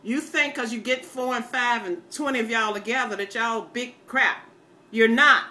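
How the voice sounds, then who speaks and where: A woman's voice: on the high side, pushed and bright. An older American woman giving an impassioned, off-the-cuff rant to viewers in a blunt, scolding, emphatic and folksy way. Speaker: online ranter, self-styled grandma. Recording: noticeable background noise.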